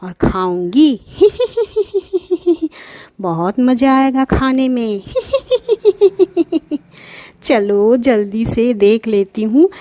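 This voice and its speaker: A woman's voice: warm tone, no room sound. Woman voicing a gloating, greedy cartoon witch. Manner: gloating, with high-pitched cackles. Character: gleeful greedy witch eager to cook her captives. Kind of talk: children's cartoon villain acting.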